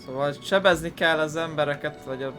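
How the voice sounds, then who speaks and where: A man's voice: on the high side, quick pace, fairly bright tone. A young man with a Hungarian accent giving casual, informal, sweary let's play commentary. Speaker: gaming YouTuber. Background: game music.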